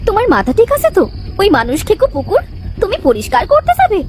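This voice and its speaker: Woman as a very shrill, excitable cartoon wife. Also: fast talker, slight background noise, full character voice. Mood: anxious, questioning. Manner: excitable, disbelieving. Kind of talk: cartoon character dialogue. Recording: music under the voice.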